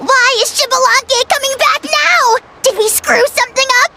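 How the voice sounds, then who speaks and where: A woman voicing a small excitable fairy companion in a game, chattering quickly. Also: very high-pitched. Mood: excited.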